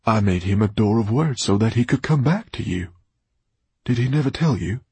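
A man's voice: fairly low pitch, expressive, moderate pace, warm tone, slightly rough, dry recording, voice pushed up. A man voicing a grief-stricken, vain and theatrical bookish man who speaks in a dramatic, flowery way. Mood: grandiose. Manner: dramatic, flowery, self-absorbed.

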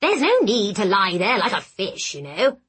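Audiobook role: small male hobgoblin, played by a woman, scolding yet fond, husky edge. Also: mid-range voice, huge pitch swings, moderate pace, fairly bright tone, full character voice. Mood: irritated, scolding. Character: fussy, brisk, affectionate little male hobgoblin healer. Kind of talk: audiobook character voice.

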